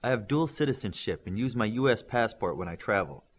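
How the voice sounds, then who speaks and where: A man reading a sharp-tongued defiant teen girl. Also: lowish voice, lively intonation, brisk. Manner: defiant, cutting.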